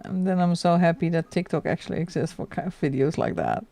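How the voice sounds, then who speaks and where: A woman's voice: fairly low pitch, sing-song, a little fast, vocal fry, mellow, no echo, soft background music. A middle-aged woman from the Netherlands with a Dutch accent, chatting casually and laughing often while she colours on a livestream. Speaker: livestream host.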